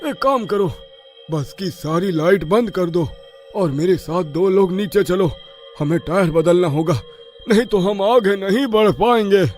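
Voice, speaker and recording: A man plays a wary village bus driver in a spooky cartoon tale. The voice is high, anxious and bossy, full of urgent warnings. Soft music sits behind it. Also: a little slow.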